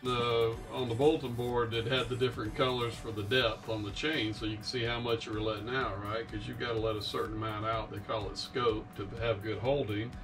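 A man's voice: mid-range voice, little pitch movement, a little fast, hardly any pauses, recorded from a distance, music in the background. A middle-aged man casually recounting a sailing trip as a vlog host, recorded in a small boat cabin. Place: small wood-panelled sailboat cabin.

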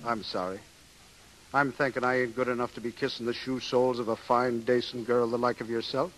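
A man's voice: mid-range voice, steady tone, a little fast, a bit bright, natural voice, low-quality recording. Man playing a brawny, blustering Irish sailor. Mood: tender, smitten. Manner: blustering, lilting, flowery talk. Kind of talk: 1930s radio acting. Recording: hissy 1930s radio broadcast.

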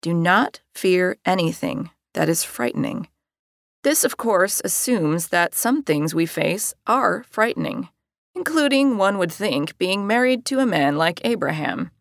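A woman reads a scripted audiobook. Her voice sits a little low, and her delivery is conversational and wry. The recording is fairly dry and close. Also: expressive, measured pace, gravelly, no echo. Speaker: author reading her own audiobook.

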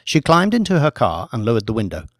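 A man reading a scripted audiobook story in a measured, deliberate storytelling manner. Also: mid-range voice, wildly swooping pitch, no room sound, clean studio sound. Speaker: audiobook narrator, author reading own book.

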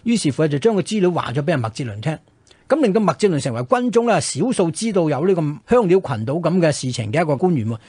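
Fast-talking Hong Kong man telling history. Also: fairly high pitch, slightly rough, no room sound, studio quality. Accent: Hong Kong Cantonese. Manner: animated explainer, frequent rhetorical check questions. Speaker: radio history show co-host. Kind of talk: conversational historical storytelling.

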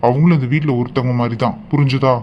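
A stern young husband in a family melodrama acted by a man, speaking quickly. He is reproving and disappointed. The recording is dull, with faint noise behind him. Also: mid-range voice, fairly even intonation, close to an everyday voice.

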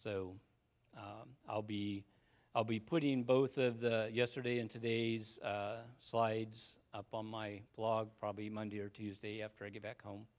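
A man giving an informal technical talk in a hesitant, halting, casual way. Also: on the low side, little pitch movement. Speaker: conference speaker, amateur radio hobbyist. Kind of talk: informal technical presentation, wrapping up. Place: conference room, speaking to an audience.

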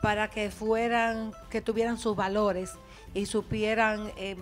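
An older woman, a guest on a TV chat set, speaking slowly and thoughtfully into a handheld mic. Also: mid-range voice, little pitch movement, soft background music. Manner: slow, drawn-out, thoughtful. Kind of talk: casual TV chat. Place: TV studio set.

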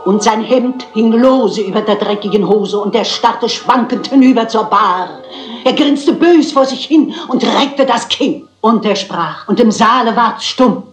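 Elderly spinster sleuth female actor: highish, prim, articulate, stagey, roomy dub sound. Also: a little slow, soft background music, close to an everyday voice. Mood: dramatic reciting. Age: older. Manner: theatrical, articulate, dignified. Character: prim, plucky elderly spinster amateur sleuth auditioning as actress. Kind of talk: German dubbed crime drama acting.

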